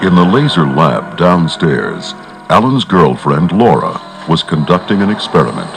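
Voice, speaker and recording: Storybook narrator: male, deep voice, animated dramatic read, children's read-along style. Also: moderate pace, gravelly. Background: loud music.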